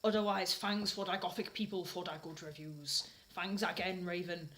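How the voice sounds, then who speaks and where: A woman's voice: fairly low pitch, fairly even intonation, moderate pace, fairly bright tone. British woman giving a dramatic reading. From Britain. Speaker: host reading fanfiction aloud.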